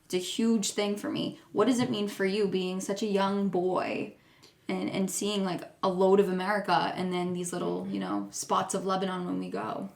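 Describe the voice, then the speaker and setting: A woman's voice: mid-range voice, moderate pace, vocal fry. A young woman hosting a casual family podcast, chatty and asking her relatives leading questions. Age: young adult. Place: family home, around a table. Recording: echoey room.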